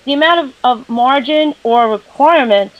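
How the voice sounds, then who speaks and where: A woman's voice: sits high, moderate pace, muffled recording. A woman with a New York accent, a guest trader chatting casually on a call, recorded through her laptop's built-in mic.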